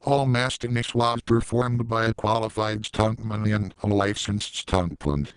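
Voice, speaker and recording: An actor playing a solemn official announcer, reading a mock-serious scripted disclaimer. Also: male voice, lowish voice, steady tone, unhurried, smooth, no echo, natural voice, poor audio.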